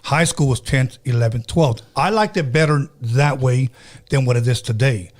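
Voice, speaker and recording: A man's voice: mid-range voice, normal speed. A man in his fifties with a Chicano Californian accent, a native Spanish speaker, hosting a casual interview in blunt, slangy talk with bits of Spanish. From California, United States. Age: 50s. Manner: blunt, informal, sprinkles in Spanish. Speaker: radio podcast host.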